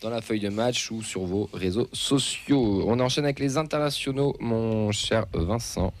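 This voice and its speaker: A man's voice: fairly low pitch, normal speed. A man hosting a football radio show, thanking guests and passing to the next segment. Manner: friendly, hands over to the next speaker. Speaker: host.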